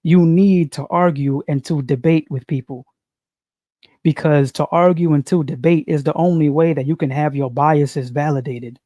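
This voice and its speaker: Mellow-voiced man preaching slowly. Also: fairly high pitch, steady tone, dry recording, highs cut off. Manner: slow, preachy, repeats phrases, frequent "you see". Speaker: spiritual commentator. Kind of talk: unscripted monologue on philosophy.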